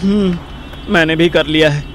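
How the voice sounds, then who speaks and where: A man's voice: a little high, steady tone, normal speed, noisy background, close to an everyday voice. An actor playing a reluctant, sarcastic, foul-mouthed young man who grumbles and wisecracks in a Hindi audio drama. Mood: wry.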